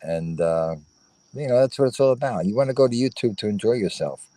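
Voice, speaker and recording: A man joining a livestream chat as a guest over his phone, earnest and prone to repeating his points with halting restarts. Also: medium pitch, expressive, dark tone, dry recording. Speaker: guest, fellow show host.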